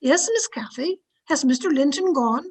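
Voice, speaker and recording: A woman's voice: high-pitched, lively intonation, moderate pace, a little mellow. A woman playing a frank, protective housekeeper and confidante, firm and plain-spoken, in old-time radio drama style. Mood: concerned, probing.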